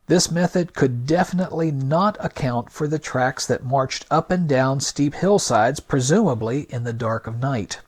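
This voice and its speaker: Guy hosting a podcast, reading his script, slightly high voice, emphatic and making his case. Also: darkish tone. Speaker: podcast host. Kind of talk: scripted narration.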